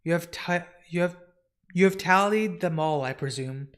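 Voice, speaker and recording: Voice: medium pitch, moderate pace, lightly acted. A comic reader voicing a solemn, brooding male ruler of dreams who speaks in stately, formal phrases. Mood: commanding.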